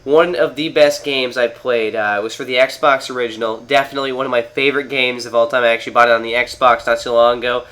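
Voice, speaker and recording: A man's voice: mid-range voice, steady tone, quick pace, hardly any pauses, pushed and bright. A man from Canada with a cold, sounding nasal as he talks through a video game in a rambling, casual way with many fillers. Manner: rambling, lots of fillers and restarts. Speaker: gaming video host. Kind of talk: casual gameplay commentary. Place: at home, playing on a TV.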